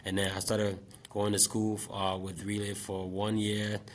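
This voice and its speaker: A man, talking through his teaching path in a loose, thoughtful way. He leans on "uh" and "you know" as he goes, in a fairly low voice. A faint hum of ambience sits behind him. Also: unhurried. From Liberia. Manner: relaxed, reflective, frequent fillers. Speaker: guest, special education math teacher. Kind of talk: casual interview talk.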